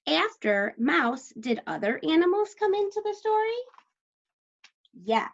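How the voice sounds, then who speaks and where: Female primary teacher for a children's story lesson: unhurried, questioning, encouraging delivery with creaky edges, dull lo-fi sound. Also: very high-pitched, very animated. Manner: instructional, questioning, pauses for answers, encouraging. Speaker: elementary school teacher. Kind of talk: read-aloud lesson talk to young children.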